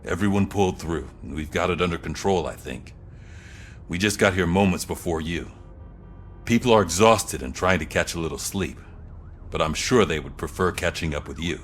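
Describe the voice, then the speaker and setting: A man acting a friendly, steady police colleague in a video game, matter-of-fact and supportive. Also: low voice, normal speed, slight background noise, close to an everyday voice. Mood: relieved, supportive.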